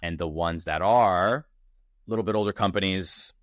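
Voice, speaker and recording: A man of thirty speaks in a low voice, casual and energetic. He thinks aloud as a founder on a podcast, restarting often. The sound is dry and close. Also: normal speed, no echo. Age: 30s. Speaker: startup founder, podcast guest. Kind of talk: casual interview conversation. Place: Toronto.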